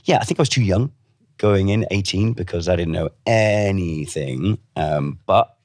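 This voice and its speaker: A man's voice: deep voice, normal speed, darkish tone, dry recording, studio quality. A man in his fifties, a voice actor chatting as a podcast guest with a self-deprecating manner and frequent restarts. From Wales. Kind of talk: casual interview chat.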